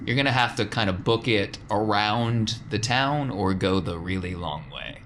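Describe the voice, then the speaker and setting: Bright-voiced man running a tabletop game. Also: fairly low pitch, moderate pace. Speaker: game master. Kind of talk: improvised tabletop game narration. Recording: background noise with room ambience.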